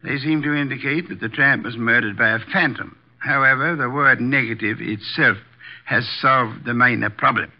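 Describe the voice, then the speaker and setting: An actor playing an imperious, erudite armchair detective with formal, deliberate diction, in 1950s radio drama style. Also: male voice, mid-range voice, natural voice. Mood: self-assured. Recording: dull vintage 1950s radio recording.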